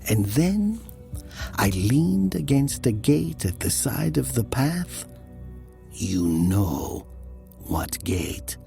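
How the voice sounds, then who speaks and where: Slow, gravelly, haunted killer confessing. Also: male voice, medium pitch, big pitch swings, exaggerated voice. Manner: brooding, deliberate, hushed at times. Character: haunted, guilt-ridden Italian male killer confessing his crime. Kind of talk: dramatic first-person literary narration. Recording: music behind the voice. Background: music.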